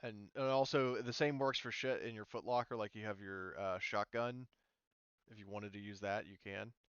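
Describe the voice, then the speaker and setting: Man, smooth low voice, informal game master, hesitant explanations, close dry muffled mic. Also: fairly even intonation, normal speed, fairly bright tone, no echo. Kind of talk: casual online tabletop game session.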